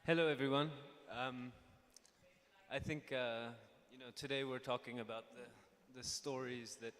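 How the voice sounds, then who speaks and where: A young man, a documentary filmmaker, giving an unscripted introduction on a conference panel and stopping often to restart and fill pauses. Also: mid-range voice, fairly even intonation, a bit bright. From Pakistan (born in the United States). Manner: hesitant, many fillers and restarts. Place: conference stage in a large hall. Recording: hall reverb with faint ambience.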